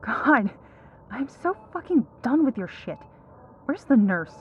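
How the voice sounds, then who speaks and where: A woman's voice: high-pitched, big pitch swings, slow, husky, narrow, tinny audio, full character voice. A woman playing an exasperated superhero laid up in hospital in an audio roleplay, speaking with dry sarcasm. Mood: angry, sarcastic. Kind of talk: ASMR roleplay audio drama.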